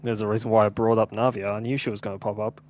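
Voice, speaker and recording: A man's voice: on the low side, flat delivery, rapid-fire, heavy background noise. A man giving casual commentary as he plays a video game. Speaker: game streamer. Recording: slightly dull mic over game music. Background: game music.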